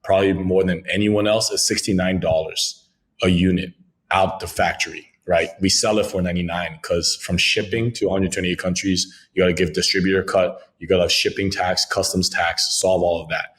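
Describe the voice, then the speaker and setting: A man's voice: sits low, fairly even intonation, a little fast, fairly bright tone. A man from Congo, a French speaker, answering podcast questions about his crypto company in fluent, jargon-heavy talk. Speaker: crypto company founder, guest. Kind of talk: podcast interview, business pitch.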